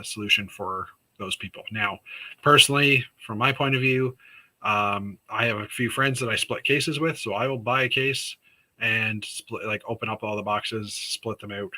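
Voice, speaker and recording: A man with a raspy sore throat from Canada, hosting a casual livestream chat and rambling through news with many fillers. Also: on the low side, steady tone, normal speed, pushed and bright, rough recording. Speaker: host of a weekly livestream chat.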